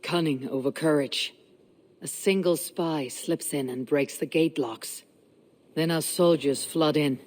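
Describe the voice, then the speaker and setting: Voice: medium pitch, unhurried, a little mellow, lightly acted. A voice actor playing a blunt, confident Viking raider leader in a game cutscene, with dry wit. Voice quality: blunt, confident, dryly witty. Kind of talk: video game cutscene acting.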